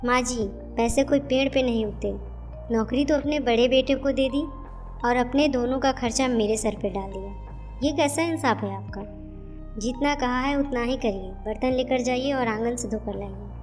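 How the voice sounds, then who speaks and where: A woman's voice: a little high, moderate pace, a little mellow, close to an everyday voice. A woman voicing a bitter, sarcastic young daughter-in-law who scolds her in-laws in a cartoon family story, with music behind her. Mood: scolding, sarcastic. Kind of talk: Hindi moral-story cartoon dialogue.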